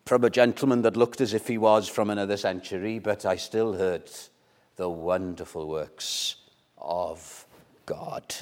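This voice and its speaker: A man's voice: lowish voice, measured pace. An older man from Wales, a Welsh speaker, preaching a sermon in a conversational way with rhetorical questions and repeated words. His voice swells and then drops almost to a whisper. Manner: loudness rises and falls widely, dropping to near whispers. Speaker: pastor.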